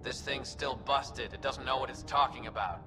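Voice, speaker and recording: A man voicing a grieving, short-tempered alien soldier in a video game, gruff and full of doubt. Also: mid-range voice, normal speed, over music, natural voice. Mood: bitter, bewildered.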